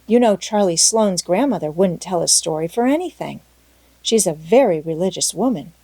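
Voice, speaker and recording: A woman voicing an excitable, imaginative young girl with a breathless, dramatic, talkative manner in a storybook reading. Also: lowish voice, slightly rough, dry recording. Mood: eager, insistent. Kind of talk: storybook character voice in audiobook.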